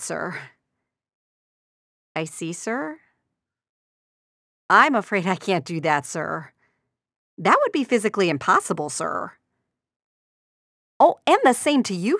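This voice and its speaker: An actress playing a courteous but dryly sardonic receptionist at a car dealership, delivering scripted video game lines. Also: female voice, mid-range voice, a bit bright, no echo, studio quality. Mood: politely dismissive. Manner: courteous customer-service formality with sardonic undertone. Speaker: secretary answering customer calls.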